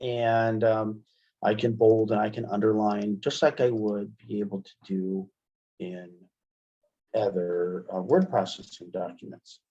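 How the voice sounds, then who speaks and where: A man giving a casual software demonstration as an instructor, conversational and thinking aloud as he goes. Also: on the low side, fairly even intonation, measured pace. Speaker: instructor, webinar presenter. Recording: dull, band-limited webinar audio.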